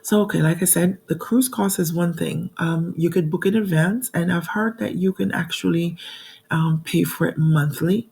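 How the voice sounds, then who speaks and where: Hoarse, gravelly-voiced woman vlogger. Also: on the low side, moderate pace, a little mellow. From New York. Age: 40s. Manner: chatty, addresses viewers directly, frequent ums. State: hoarse from a cold. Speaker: budgeting channel host. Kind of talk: casual talking-to-camera vlog.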